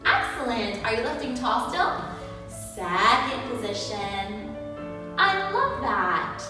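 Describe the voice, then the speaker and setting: A woman presenting a storytime and ballet lesson for preschoolers in an encouraging, sing-song, highly expressive way. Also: takes it slowly, distant mic. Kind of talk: read-aloud and lesson for preschoolers. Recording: echoey hall, music behind.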